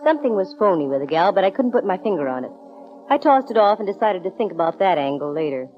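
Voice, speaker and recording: An actress playing a brisk, wry young American private detective in 1940s radio drama style. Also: female voice, fairly low pitch, very animated, music in the background. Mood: wry narrating. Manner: wry, breezy, hard-boiled. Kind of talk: 1940s radio acting, first-person detective narration. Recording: dull, band-limited old radio recording.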